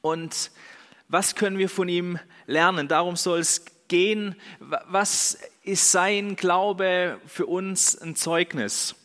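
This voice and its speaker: Bright, forceful young preacher, man. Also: high-pitched, slow pace. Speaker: pastor. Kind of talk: sermon. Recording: long hall reverb.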